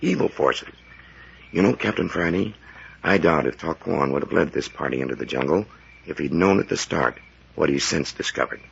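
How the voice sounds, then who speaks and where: An actor playing a grave scientist doctor in a 1940s radio adventure, speaking in a measured, formal, ominous way. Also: male voice, very deep voice, animated, gravelly, a bit bright, slightly rough, heavily acted. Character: grave, trusting scientist doctor, foreboding of jungle evil. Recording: muffled vintage 1940s radio recording.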